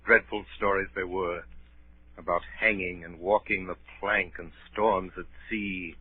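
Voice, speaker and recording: An actor playing a man gravely recalling his boyhood as narrator of a 1930s radio drama. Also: deep voice, steady tone, a little slow. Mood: grave, reminiscing. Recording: muffled, thin 1930s radio broadcast, faint music.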